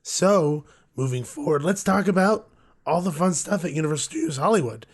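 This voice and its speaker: A man co-hosting a podcast with a hoarse, gruff voice, chatting casually and joking around. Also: on the high side, animated, a little fast. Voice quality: gruff, rough. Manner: joking, rambling, laughs often. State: hoarse, losing his voice. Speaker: podcast co-host. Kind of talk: casual chat.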